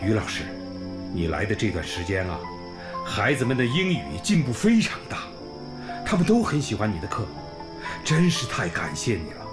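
A man's voice: fairly low pitch, very animated, normal speed. An actor playing a kindly old village school principal in a radio drama, effusive and homely, sighing and exclaiming as he talks. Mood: grateful. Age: older, around sixties. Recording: music bed under the voice.

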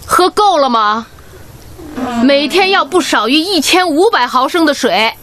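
An actress playing a strict but caring head nurse in a radio drama, brisk and commanding, nagging her team. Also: sits high, expressive, background noise. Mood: brisk, urging. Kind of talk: Chinese radio drama acting.

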